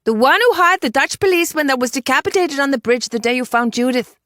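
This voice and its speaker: A woman voicing a sharp-tongued, exasperated young woman in an audiobook, blunt and sarcastic. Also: on the high side, big pitch swings, normal speed, no room sound, studio quality, pitched above the performer's own voice.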